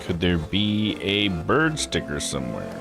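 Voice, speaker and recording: Male streamer, animated intonation, reads game text and comments, trips on names, music underneath. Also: low voice, a little slow, creaky. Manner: stumbles over unfamiliar names, muttered asides. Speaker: streamer playing a visual novel. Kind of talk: live read-aloud commentary of a game.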